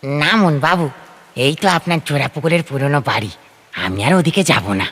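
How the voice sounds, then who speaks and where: A male actor plays a mysterious rickshaw puller in a horror audio drama. His voice is slightly high and forceful, with animated swings as he offers deferential, uncanny reassurance. Faint ambient noise sits behind him. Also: moderate pace. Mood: knowing, eerie. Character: mysterious rustic rickshaw puller, outwardly reassuring, secretly ghostly. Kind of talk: horror audio story acting.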